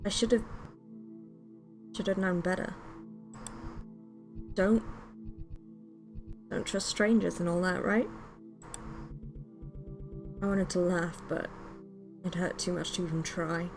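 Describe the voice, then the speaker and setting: A woman's voice: fairly low pitch, fairly even intonation, slow pace, long pauses, music in the background. A woman voicing the regretful, badly wounded narrator of a spooky visual novel. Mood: pained, bitter.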